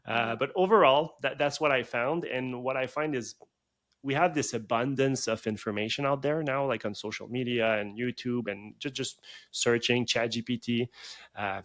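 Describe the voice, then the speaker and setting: Male chiropractor guest, 30s, mid-pitched, loose rambling podcast talk full of "like" and restarts. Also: moderate pace, a bit bright. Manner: rambling, many fillers and restarts. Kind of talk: conversational podcast interview.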